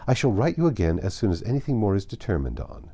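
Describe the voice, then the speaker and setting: A man's voice: lowish voice, very animated, quick pace, mellow, breathy edge. A man voicing a businesslike, kindly middle-aged uncle's letter in an audiobook, formal and reassuring.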